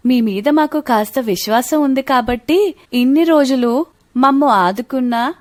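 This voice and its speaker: Woman acting a high-voiced humble young wife. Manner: polite, earnest. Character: humble, thrifty poor young working wife. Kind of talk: scripted moral story dialogue.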